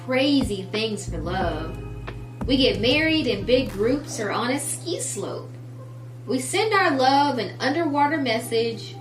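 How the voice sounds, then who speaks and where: Slow, higher-voiced woman reading to children. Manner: addresses young children directly, deliberate phrasing. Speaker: children's story time host. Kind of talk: children's picture book read-aloud. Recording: roomy, distant mic, music underneath. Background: music.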